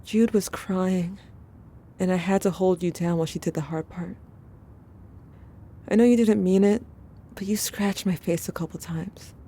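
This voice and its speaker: A haunted, protective sibling in an audio drama, speaking intimately to the one remembered. Her voice is on the low side and very mellow, kept hushed and subdued. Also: steady tone, measured pace. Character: haunted, protective sibling confiding a traumatic memory. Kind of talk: intimate scripted second-person narration.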